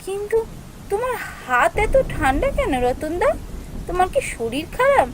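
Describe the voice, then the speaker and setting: Female actor as anxious young office woman, cartoon storytelling, very animated intonation, emotional, faint storm noise behind. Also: extremely high pitch, moderate pace, rough recording. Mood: startled, concerned. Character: anxious young female office worker Soumi, telling her ghost encounter. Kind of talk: dramatic scripted story narration and dialogue.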